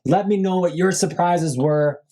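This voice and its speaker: A man's voice: high voice, measured pace, dark tone. Sports podcast guy losing his voice. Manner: upbeat, lists names with rising emphasis, frequent fillers. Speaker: podcast host. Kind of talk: solo sports podcast commentary.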